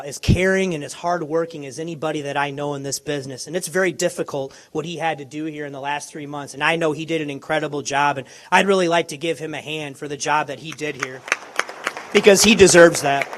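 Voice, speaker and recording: A man from Minneapolis, a college basketball coach giving a press conference speech with a hoarse, worn voice. He talks eagerly into a microphone, stumbling and restarting his sentences. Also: on the high side. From Minneapolis, USA. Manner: eager, halting, many restarts. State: hoarse, lost voice. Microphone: handheld or podium microphone.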